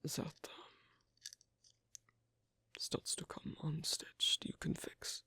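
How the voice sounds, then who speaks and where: A performer voicing an asocial werewolf in an ASMR roleplay, hesitant and gently instructive, in a whispery, breathy, creaky voice. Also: slow, no echo. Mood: patient, explaining. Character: asocial werewolf on a first date, patiently teaching sewing.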